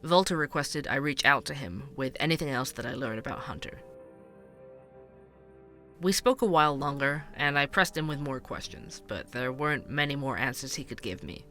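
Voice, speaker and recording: A man's voice: medium pitch, animated, normal speed, pushed and bright, faint music behind. A man playing a candid, wry investigative podcast host in an audio drama, speaking conversationally. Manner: conversational, wry, hesitant asides. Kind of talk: fictional podcast narration and dialogue.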